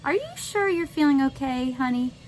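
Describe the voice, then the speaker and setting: A woman voicing a gentle, worried mother in a children's storybook reading. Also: high-pitched, very animated, moderate pace, slight background noise, pitched above the performer's own voice. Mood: concerned. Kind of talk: children's novel read-aloud.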